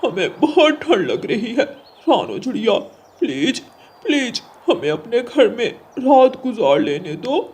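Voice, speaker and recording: A woman's voice: fairly high pitch, big pitch swings, a little slow, a little mellow, husky, full character voice. A woman voicing a helpless male crow husband in a children's cartoon, plaintive and begging. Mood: tearful pleading. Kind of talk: Hindi children's cartoon character voice.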